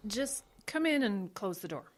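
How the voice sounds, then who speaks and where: A woman plays an earnest small-town woman who is worried by outsiders. She speaks hesitantly, her voice moving lively up and down. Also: medium pitch, moderate pace, background noise, lightly acted.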